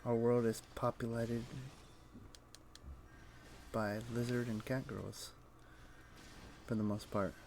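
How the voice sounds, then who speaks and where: A man's voice: medium pitch, level tone, slow pace, long pauses, darkish tone, slight background noise. A man giving casual, offhand gameplay commentary as a livestreamer, muttering short reactions as he plays. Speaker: game livestreamer.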